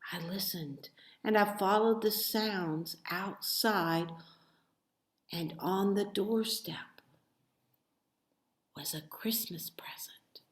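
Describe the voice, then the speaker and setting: A woman reading a children's storybook aloud as expressive narration, with dramatic, deliberate phrasing. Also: mid-range voice. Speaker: storybook reader. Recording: echoey room.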